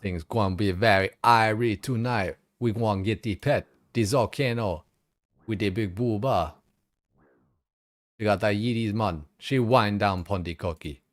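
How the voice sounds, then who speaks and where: A man's voice: on the low side, unhurried, no echo, pitched above the performer's own voice, close to an everyday voice. A man voicing a laid-back male troll with a put-on Jamaican-style patois, drawling and slangy. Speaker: streamer role-playing a character.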